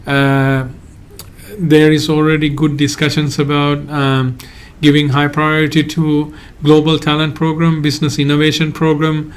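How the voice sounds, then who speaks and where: A man from Sri Lanka, a migration agent hosting a live Q&A and answering viewer questions in a halting, hedging way. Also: a little high, monotone, clear, resonant tone. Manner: halting, hedges often, reads out viewer questions.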